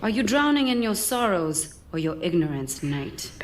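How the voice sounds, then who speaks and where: A woman's voice: on the low side, very animated, moderate pace, slight background noise. An actress playing a scornful, defiant woman warrior in a video game, with a cool, sardonic manner. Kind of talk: cinematic video game acting.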